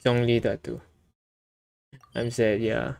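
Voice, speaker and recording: Casting: man, game streamer, halting offhand commentary, slow, average pitch. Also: little pitch movement, creaky, darkish tone, a little hiss or hum. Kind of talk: casual livestream commentary.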